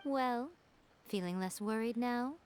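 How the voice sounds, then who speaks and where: A woman voicing a sly, self-assured lady schemer in an anime game, unhurried and knowing. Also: fairly high pitch, heavy background noise. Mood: smugly reassuring. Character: sly, composed, self-assured female schemer of mystical standing. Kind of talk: anime video game voice acting.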